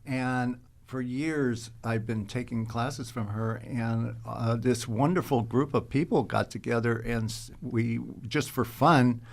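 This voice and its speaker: A man speaking as an interview guest, telling casual stories in a halting, rambling way. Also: medium pitch, steady tone, vocal fry. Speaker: guest, actor.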